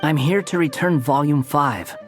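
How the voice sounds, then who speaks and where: A woman voicing a cool, self-assured, smoothly insistent man in a video game, measured and smug. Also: deep voice, soft background music, lightly acted.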